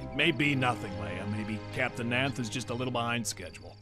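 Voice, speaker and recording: A man's voice: mid-range voice, brisk, close to an everyday voice. An actor in an audio drama playing a roguish, easygoing smuggler and family man. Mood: easygoing concern. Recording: music score behind the voice.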